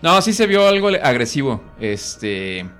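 A man with a Mexican accent hosts a casual podcast. His pitch rises and falls a lot as he chats, laughs and stalls on fillers. Faint music plays underneath. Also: big pitch swings, unhurried, fairly bright tone, smooth. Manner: chatty, laughs often, hesitant fillers. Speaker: podcast host. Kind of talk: casual chat.

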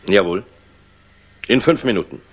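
A man's voice: on the low side, lively intonation, a little slow, noisy background. A man acting a weary, hard-driven general in a 1960s German radio drama, terse, urgent and wry. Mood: gruff, concerned.

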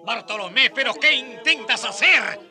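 A man's voice: extremely high pitch, animated, moderate pace, pushed and bright, exaggerated voice. An actor playing a haughty, alarmed king in a radio drama, indignant and commanding.

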